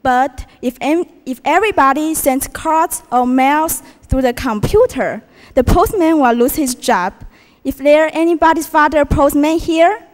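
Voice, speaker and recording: A woman's voice: sits high, unhurried. A teenage girl from Taiwan with a Taiwanese accent gives a prepared contest speech in English, reciting carefully and putting questions to the audience. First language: Mandarin Chinese. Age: teens. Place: school auditorium. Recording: reverberant hall from a distance.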